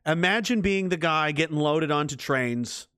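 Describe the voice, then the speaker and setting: A man's voice: fairly high pitch, normal speed, a bit bright, clear, resonant tone, dry recording, clean studio sound. Rambling, profane streamer man. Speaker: livestream host. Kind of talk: casual rambling commentary.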